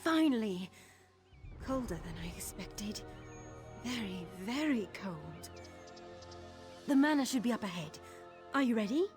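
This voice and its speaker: A woman's voice: on the high side, big pitch swings, a little slow, pauses often, music in the background. A woman playing an eager, nervy young female thief in a video game, chatty and conspiratorial. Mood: focused, eager. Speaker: game character, thief companion.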